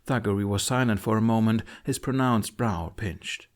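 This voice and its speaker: Low-voiced man narrating dramatic fiction. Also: normal speed. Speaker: audiobook narrator.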